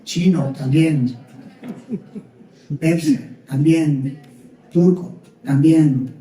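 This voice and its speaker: A man's voice: a little high, very slow, dark tone. Chatty man from Spain, echoey distant room. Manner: chatty storyteller who acts out little dialogues, laughs often. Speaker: speaker sharing travel experiences. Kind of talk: informal talk to a group.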